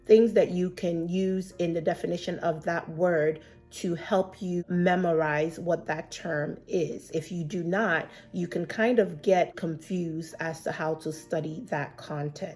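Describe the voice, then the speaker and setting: A woman with a fairly low voice that sounds a little stuffed up from a cold, talking casually but earnestly to her viewers. She gives encouraging study advice, glancing at her notes as she speaks. Faint music sits under her voice. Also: steady tone, a little slow, darkish tone. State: slightly congested from a cold. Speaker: exam prep vlogger and mentor. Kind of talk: casual advice vlog to camera. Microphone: camera facing her, laptop beside.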